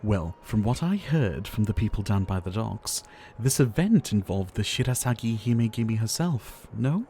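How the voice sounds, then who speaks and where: Man acting as a gentle ailing pharmacist, low voice, courteous, wistful, soft theatrical ASMR roleplay, noisy ambience behind. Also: sing-song, normal speed, few pauses. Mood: warm, welcoming. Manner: soft-spoken, theatrical, polite, with sighs.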